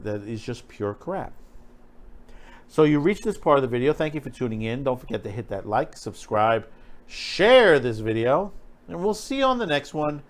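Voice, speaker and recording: A man giving opinionated, off-the-cuff pop culture commentary as a video host, emphatic and critical, often repeating himself and restarting phrases. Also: medium pitch. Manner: halting, repetitive, restarting phrases mid-thought.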